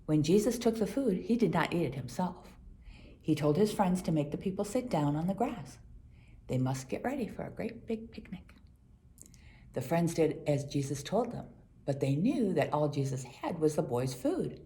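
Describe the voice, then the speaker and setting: Low-voiced woman reading a children's story expressively. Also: very animated, moderate pace, warm tone. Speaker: storybook reader. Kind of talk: scripted children's Bible story read aloud.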